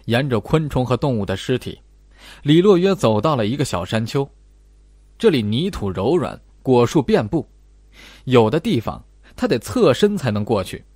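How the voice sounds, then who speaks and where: A man's voice: medium pitch, a little mellow, no room sound, studio quality. A man narrating a suspenseful horror novel as an audiobook, with dramatic storytelling delivery.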